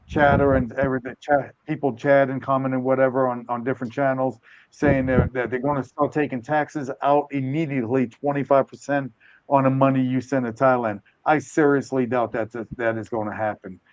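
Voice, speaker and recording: A man's voice: mid-range voice, steady tone, normal speed, no echo, lo-fi sound. An older man from the United States hosting a live stream, rambling, hedging and correcting himself in casual chat. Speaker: host.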